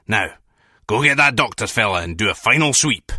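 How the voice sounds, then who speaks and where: A callous Scottish mercenary boss in a video game. His delivery is gruff and very forceful, with a lively, swooping melody. The Scottish accent carries a cocky swagger, recorded close and dry. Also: on the low side, normal speed, no room sound, exaggerated voice. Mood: callous, commanding.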